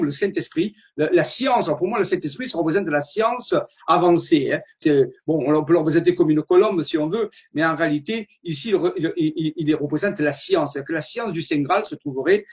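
A man giving an earnest slide-show lecture, wandering from point to point and often repeating his words as he searches for them. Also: a little high.

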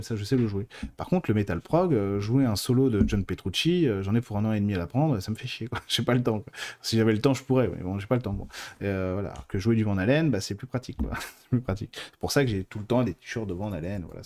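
Chatty, rambling man with a mellow voice. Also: on the low side, quick pace. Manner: informal, colloquial wording. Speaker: host of a live stream on mediumship and clairvoyance. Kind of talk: casual live talk answering viewer questions.

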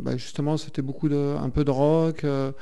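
A man talks slowly through his career on a radio show, often pausing with fillers and restarting his sentences. His voice is fairly high for a man, gravelly yet resonant, with a dark, mellow tone. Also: flat delivery. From France. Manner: hesitant, many fillers and restarts. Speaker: singer guest. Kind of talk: radio interview chat.

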